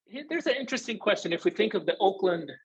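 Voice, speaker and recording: A man moderating an academic discussion off the cuff, often pausing and searching for his words. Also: high voice, animated, breathy edge, dull audio.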